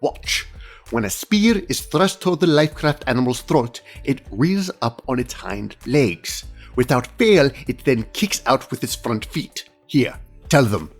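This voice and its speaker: A man's voice: mid-range voice, expressive, unhurried, faint music behind. A man voicing a fussy, exacting senior inspector in a fantasy audio drama, speaking formally and precisely with cool superiority. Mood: precise instruction.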